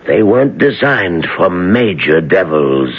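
Brief: frightened accomplice, husky and urgent, muffled old radio play. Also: male voice, low-pitched, big pitch swings, a little slow, exaggerated voice. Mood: shaken. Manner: shaken, urgent, hushed. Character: frightened male accomplice in witchcraft. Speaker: actor in radio drama. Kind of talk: 1970s radio drama acting.

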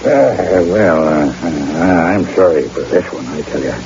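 An actor playing a boastful backwoods guide with a French-Canadian accent, telling yarns theatrically in broken English in an old radio drama. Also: male voice, rumbling low, expressive, unhurried, darkish tone, full character voice. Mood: boastful storytelling. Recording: dull, noisy old radio recording.

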